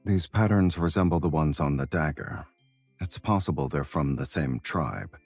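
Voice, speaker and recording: An actor playing a nonchalant, teasing man in a romance game, speaking in an unhurried, suave way. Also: expressive, darkish tone, faint music behind, heavily acted. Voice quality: husky, very deep. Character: nonchalant, teasing man, protagonist's traveling companion.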